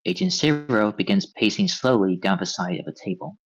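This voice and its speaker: A man's voice: lowish voice, big pitch swings, fairly quick, husky. A man narrating stage directions from a script at a table read. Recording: dull, lo-fi audio.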